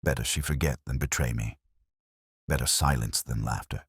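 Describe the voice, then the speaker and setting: A man's voice: extremely low pitch, moderate pace, husky, no echo, studio quality, voice pushed down. A man voicing a wild-eyed, wounded playwright villain in an audiobook, spitting out his grievances theatrically. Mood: bitter, desperate. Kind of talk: detective audiobook dialogue.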